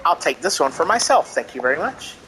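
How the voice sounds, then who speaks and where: A man's voice: on the high side, very animated, fairly quick, sharp tone, slight background noise, poor audio. A man giving casual, self-deprecating let's play commentary, chatting half to himself. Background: game music.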